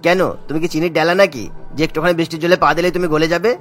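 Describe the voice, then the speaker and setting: A man acts a scornful cartoon boss. He is sarcastic and domineering, firing words out very rapidly in a fairly high male voice. Light music sits behind him. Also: level tone, a bit bright, lightly acted. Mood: angry, scornful. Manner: scolding, sarcastic. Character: gruff, bossy male shop owner, scornful. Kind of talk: cartoon voice acting.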